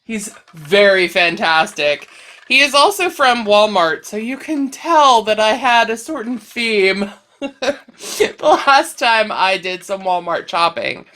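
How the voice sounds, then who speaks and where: A woman's voice: medium pitch, lively intonation, slow pace, fairly bright tone. A woman hosting a casual crochet chat video, her voice a little scratchy and tired at the end of a workday. She chats and laughs easily and sometimes loses her train of thought.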